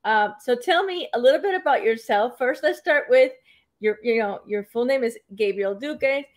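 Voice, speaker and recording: A woman's voice: on the high side, expressive, normal speed. A woman hosting an online interview, hesitant and full of fillers and restarts. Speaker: host.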